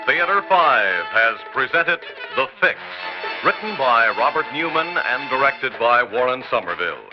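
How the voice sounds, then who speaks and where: A man's voice: medium pitch, very animated. Formal old-time radio announcer man. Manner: crisp, formal, measured. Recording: dull old radio recording with music behind.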